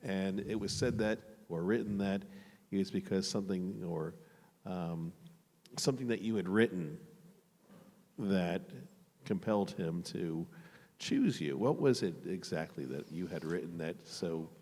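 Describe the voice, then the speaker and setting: A man politely and haltingly asking a question from the audience at a public talk. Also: deep voice, a little mellow. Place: large indoor hall. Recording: reverberant hall sound.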